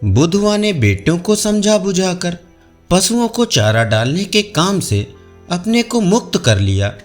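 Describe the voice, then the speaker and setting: A man narrates an audio folk tale in a high voice that moves up and down a lot. He takes his time with every phrase and tells it expressively, with soft instrumental music behind him. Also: big pitch swings, measured pace. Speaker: storyteller. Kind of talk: scripted audio storytelling.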